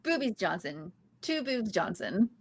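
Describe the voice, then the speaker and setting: A woman's voice: mid-range voice, very animated, unhurried, husky, heavily acted. A woman reading an awkward, earnest young sitcom heroine at a comedy table read. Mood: awkward, eager. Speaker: guest reading the lead part at a table read.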